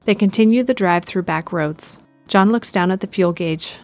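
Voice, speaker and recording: A woman's voice: mid-range voice, moderate pace, no echo, faint music behind. A woman reading scripted present-tense narration for an audio drama.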